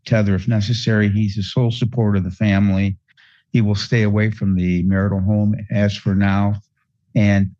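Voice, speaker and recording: A man with a low voice makes a spontaneous courtroom argument as a defense lawyer. He is halting and repeats words, and the sound is dull and lo-fi, though dry. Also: mellow, no room sound. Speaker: defense attorney. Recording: dull courtroom audio feed.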